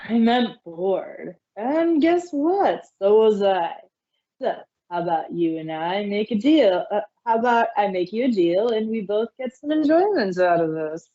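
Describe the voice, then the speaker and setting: A woman voicing a mischievous male trickster creature in an amateur audio drama, smooth, teasing and theatrical. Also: medium pitch, lively intonation, dark tone, muffled recording, natural voice. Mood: sly. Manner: smooth, teasing, drawn-out delivery.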